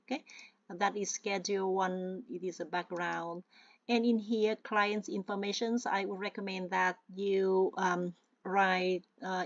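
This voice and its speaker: A woman's voice: medium pitch, no room sound. Patient woman. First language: Vietnamese. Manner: patient, often checks in with the listener; hesitant, with many fillers. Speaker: tutorial presenter. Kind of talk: step-by-step screen-share walkthrough. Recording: dull, lo-fi dry voice-over.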